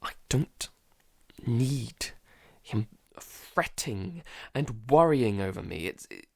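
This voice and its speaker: Man acting a slow-spoken, haunted apocalypse survivor. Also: medium pitch, sing-song, creaky, no room sound, clean studio sound, full character voice. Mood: irritated, defensive. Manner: halting, stammering, broken by sighs. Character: frightened, traumatised male survivor keeping a monster-apocalypse audio diary. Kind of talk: dramatic audio-drama monologue.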